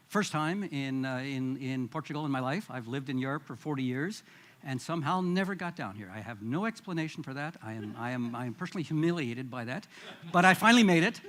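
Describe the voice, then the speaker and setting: A middle-aged man with a Midwestern American accent speaks at a conference in an easy, friendly way. He pokes fun at himself and fills his pauses with uh's and um's. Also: medium pitch, a bit bright. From United States. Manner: friendly, self-deprecating, with frequent fillers. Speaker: conference panelist. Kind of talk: conference presentation. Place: conference hall.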